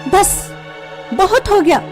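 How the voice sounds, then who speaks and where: A woman's voice: very high voice, sing-song, takes it slowly, a little mellow, soft background music, exaggerated voice. A woman playing a spiteful, scornful aunt who accuses and bullies in a melodramatic cartoon story. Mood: scornful, angry. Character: spiteful scolding aunt, cruel household bully.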